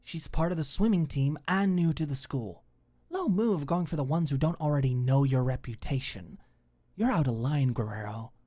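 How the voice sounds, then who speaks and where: A woman's voice: deep voice, normal speed, dark tone. A woman voicing a hot-tempered, protective, indignant young woman in an audiobook. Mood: angry. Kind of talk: audiobook character acting.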